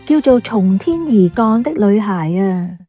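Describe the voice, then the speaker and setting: A woman's voice: mid-range voice, animated, unhurried, warm tone, faint music behind, lightly acted. A woman playing a chatty, curious café worker in a radio drama, inquisitive and teasing toward younger customers.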